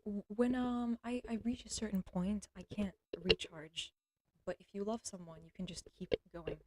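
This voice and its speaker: A woman's voice: mid-range voice, fairly even intonation, normal speed. Rambling, throaty young woman streamer. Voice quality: throaty, clears throat often. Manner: rambling, self-interrupting, blunt and crude. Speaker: livestreamer. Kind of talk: casual rambling monologue. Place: at home with a cat.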